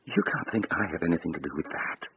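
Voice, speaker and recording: Radio drama: guarded male suspect, deep and husky, evasive, halting delivery. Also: expressive, heavily acted. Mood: guarded, rattled. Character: guarded, defensive man questioned by police acquaintance. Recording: dull, muffled radio drama recording.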